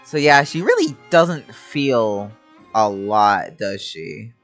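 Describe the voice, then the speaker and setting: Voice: medium pitch, animated, slow pace. A game streamer giving casual, musing, sweary commentary while reacting to a story quest. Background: game music.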